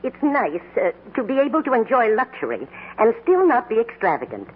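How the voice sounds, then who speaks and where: A woman playing a thrifty, contented housewife with a husky voice in a 1940s radio advertisement. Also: fairly high pitch, wildly swooping pitch, brisk, heavily acted. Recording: tinny 1940s radio broadcast.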